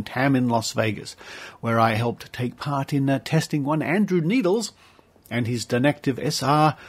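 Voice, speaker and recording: A man's voice: mid-range voice, slightly rough. A man with an Australian accent hosting a podcast, giving informal introductions and announcements in a chatty, playful manner with asides. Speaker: podcast host.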